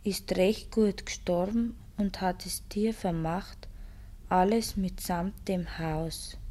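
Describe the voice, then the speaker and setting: An actress plays a Bavarian village woman reading a letter in a radio play. Her voice is dark and mellow, the delivery slow and mournful. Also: mid-range voice, lightly acted.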